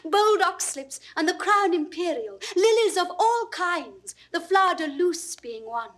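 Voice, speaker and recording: A woman playing a gentle, ardent young shepherdess in classical Shakespearean verse, with a British RP accent and lyrical, carefully enunciated delivery. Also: very high voice, animated, unhurried, heavily acted. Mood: lyrical, wistful. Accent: British Received Pronunciation.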